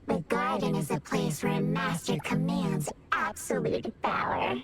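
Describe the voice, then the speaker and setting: Slow, eerie servant woman over loud music. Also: low-pitched. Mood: solemn, ominous. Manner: slow, ominous, deliberate. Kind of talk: dramatic anime game storytelling.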